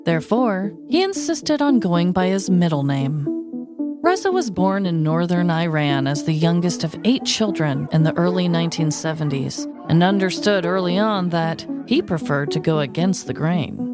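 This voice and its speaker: A woman co-hosting a podcast, telling stories in an informal, expressive way. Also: on the low side, moderate pace, music in the background. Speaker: podcast co-host. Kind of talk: casual chat and scripted storytelling.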